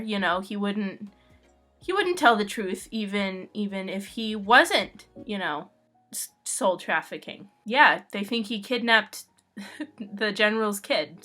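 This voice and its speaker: A woman's voice: medium pitch, sharp tone. A woman answering fan questions about her own comic in a casual, jokey and informal way. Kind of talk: casual playful chat.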